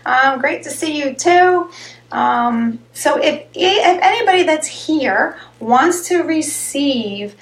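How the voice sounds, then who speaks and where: Chatty raspy woman hosting a live stream. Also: high-pitched, expressive, slow pace. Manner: chatty, rambling, greets viewers by name. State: slightly hoarse voice. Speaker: host of a live health show. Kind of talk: casual live-stream chat. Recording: echoey room.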